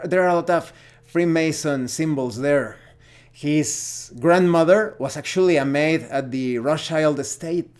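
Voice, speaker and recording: A man with a Mexican accent gives a casual opinion monologue as a gaming vlogger, speaking haltingly and searching for words. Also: fairly high pitch, darkish tone.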